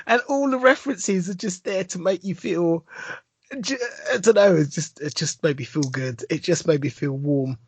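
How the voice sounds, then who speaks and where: A man's voice: a little high, breathy edge, no echo. A man co-hosting a podcast, telling a long story with a lot of enthusiasm and rambling asides. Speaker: podcast co-host. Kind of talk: casual chat.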